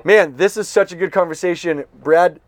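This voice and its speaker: A man's voice: high voice, moderate pace, no echo. A man hosting a podcast, chatting casually and enthusiastically, joking and cutting in on his guest. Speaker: podcast host. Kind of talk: casual interview chat.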